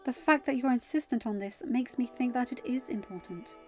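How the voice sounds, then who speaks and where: A woman voicing a gentle, perceptive mother goddess in an audiobook, probing with quiet skepticism. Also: on the high side, brisk, close to an everyday voice. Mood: gently probing. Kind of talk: acted audiobook dialogue. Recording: dull lo-fi home recording, music underneath.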